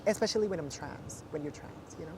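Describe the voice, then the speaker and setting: A woman in her twenties from Thailand, with a deep voice, chatting casually in an interview with many fillers and frequent laughs. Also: fast talker, mellow, slight background noise. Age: 20s.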